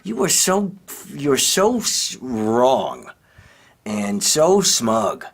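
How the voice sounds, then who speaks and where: A stammering, indignant suspect. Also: male voice, medium pitch, huge pitch swings, very slow, vocal fry, exaggerated voice. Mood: outraged. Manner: stammering, indignant, keeps restarting. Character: defensive middle-aged man accused by a podcaster. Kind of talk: scripted comedy audio drama.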